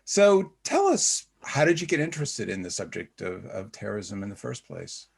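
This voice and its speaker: A man's voice: fairly low pitch, sing-song, normal speed, vocal fry, slightly rough. A man hosting an interview, asking questions in a conversational, somewhat hesitant way. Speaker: interview host. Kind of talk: podcast interview.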